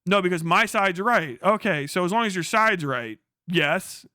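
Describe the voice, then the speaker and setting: A man's voice: sits high, animated, moderate pace, bright, forceful tone, no echo, studio quality. A man hosting a livestream, ranting casually and reading chat with profane sarcasm, often acting out mock exchanges. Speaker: livestream host.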